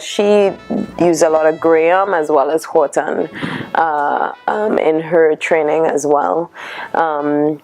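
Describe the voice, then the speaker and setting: A woman in her thirties with a Trinidadian accent speaks slowly in a low voice. She answers interview questions, pausing and listing things with many fillers. Soft music plays faintly beneath her. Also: rough recording. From Trinidad and Tobago. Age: 30s. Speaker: dancer and dance teacher.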